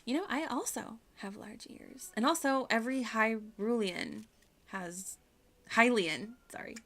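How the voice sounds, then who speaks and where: A woman's voice: on the high side, unhurried, fairly bright tone. Chatty, giggly woman streaming a game. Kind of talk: casual playthrough commentary.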